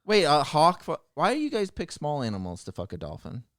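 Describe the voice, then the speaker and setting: A man's voice: on the high side, huge pitch swings, fairly quick, fairly bright tone, no room sound, studio quality. A man hosting a podcast in a casual group chat, joking around crudely with the others. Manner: jokey, crude banter. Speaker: podcast host.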